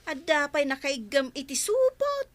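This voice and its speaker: Woman acting nagging, high-voiced Ilocano village wife. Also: very animated, a little slow, dry recording. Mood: irritated, grumbling. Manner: nagging, exclamatory, gossipy. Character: nosy, irritable gossiping village wife, scolding neighbours and husband. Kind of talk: Ilocano radio drama acting. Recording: noticeable background noise.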